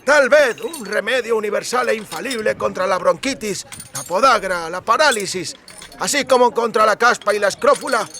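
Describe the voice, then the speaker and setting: A man's voice: high-pitched, moderate pace, bright, forceful tone, pitched above the performer's own voice. A man voicing an eager, chatty amulet merchant with effusive, wheedling sales patter in an audiobook. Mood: obsequious, eager. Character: eager, chatty male amulet merchant peddling quack remedies. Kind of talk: audiobook character acting.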